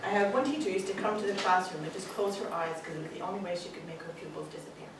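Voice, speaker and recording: A woman's voice: mid-range voice, rapid-fire. Canadian woman speaking in an echoey hall. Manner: warm, joking, with frequent ums. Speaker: parent giving a speech. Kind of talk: graduation speech. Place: school hall with pupils. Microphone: distant camera. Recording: echoey hall from far off, room noise.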